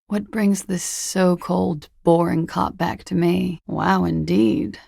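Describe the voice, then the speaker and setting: A young expatriate game character, cool and weary of the world. Her voice sits fairly low and is husky with a lot of gravel. She teases with dry irony, taking her time over each line. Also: steady tone, slow pace, dark tone, no room sound, exaggerated voice. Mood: wry, teasing. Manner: dry, languid, ironic. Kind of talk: video game character dialogue.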